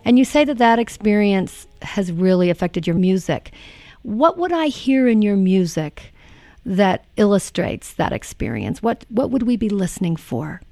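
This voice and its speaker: A woman's voice: mid-range voice, sing-song, moderate pace, gravelly, mellow, no echo. A woman hosting a radio interview, asking questions in a conversational way. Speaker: radio host.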